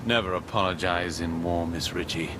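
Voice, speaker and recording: An actor in a radio drama playing an impatient, dry-witted British commando officer with a clipped, commanding English accent. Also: male voice, sits low, steady tone, normal speed, noisy background, close to an everyday voice. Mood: bleak.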